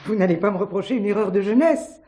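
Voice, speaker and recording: A woman's voice: medium pitch, quick pace, warm tone, lightly acted. A woman playing a coolly self-possessed suspect in a stage mystery, indignant and defiant in short, clipped denials. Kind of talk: French stage mystery acting.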